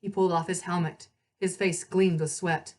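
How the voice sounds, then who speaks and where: A woman reading a story aloud as narrator, in an expressive storybook style. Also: on the low side, normal speed.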